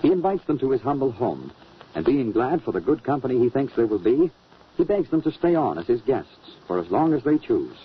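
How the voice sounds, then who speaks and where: Male 1940s radio announcer, very husky, animated, emphatic scripted delivery, muffled sound. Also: mid-range voice, a little fast. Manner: polished, dramatic, emphatic. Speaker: announcer, narrator. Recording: muffled old radio recording.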